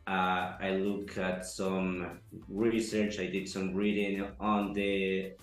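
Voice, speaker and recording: Low-pitched man, slow deliberate pace, roomy echo. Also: little pitch movement. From Ecuador. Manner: halting, many fillers and restarts, earnest. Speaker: interviewee. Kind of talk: testimonial interview. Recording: echoey room, soft music behind.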